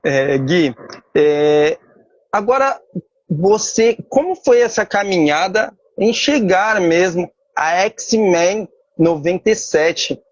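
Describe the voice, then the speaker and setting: A male interview host with a high voice asks his questions at an unhurried pace. He pauses and searches for words as he goes. The sound is dry and a little muffled. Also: animated, dry recording.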